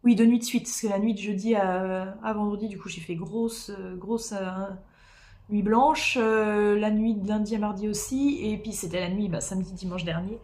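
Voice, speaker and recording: A woman's voice: medium pitch, steady tone, moderate pace, darkish tone. A woman with a cold and a sore throat, tired and losing her voice, chatting casually and rambling with viewers from home as she works on a craft. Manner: rambling, chatty, many fillers and restarts. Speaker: streamer doing diamond painting. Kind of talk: casual live-stream chat with viewers.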